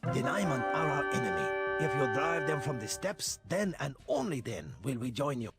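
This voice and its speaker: A man's voice: medium pitch, sing-song, moderate pace. An actor playing an earnest Mongol tribesman in a strategy game, speaking in a theatrical style. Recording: loud music behind the voice.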